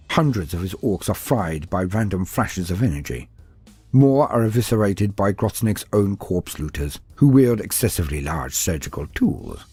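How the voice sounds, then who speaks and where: A man's voice: lowish voice, warm tone, slightly rough, no echo. A man reading scripted fantasy lore as a narrator, in a theatrical, formal storytelling style.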